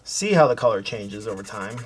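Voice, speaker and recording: A man hosting a coloring tutorial, talking casually and softly as he works, thinking aloud with fillers and restarts. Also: lowish voice, brisk, breathy edge. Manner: relaxed, thinking aloud while working, with fillers and self-corrections; soft-spoken, hoping to sound calming. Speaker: art tutorial host.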